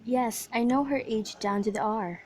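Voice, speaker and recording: A woman's voice: on the high side, moderate pace, darkish tone, dry recording, lightly acted. A woman playing a fond, bawdy old nurse in an amateur radio drama, chatty and rambling as she repeats herself. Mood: reminiscing. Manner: chatty, repetitive storytelling.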